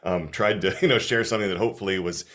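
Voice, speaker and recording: A man with a very low voice speaks quickly over a video call. He sounds thoughtful and appreciative, restarting his phrases as he goes. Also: a bit bright. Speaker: guest speaker and author. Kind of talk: casual talk.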